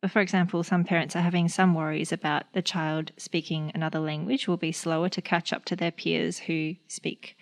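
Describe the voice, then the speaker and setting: A woman's voice: sits low, normal speed, creaky, hardly any pauses. A young woman with an Australian accent, a speech pathologist chatting casually on a podcast with many fillers. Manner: relaxed, lots of fillers and "like".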